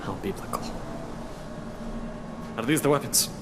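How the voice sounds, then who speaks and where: A man's voice: mid-range voice, a little slow, in a whisper, close to an everyday voice. A man playing a sly undercover agent who poses as an arms buyer in a video game. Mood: wry, smooth. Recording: loud music behind the voice.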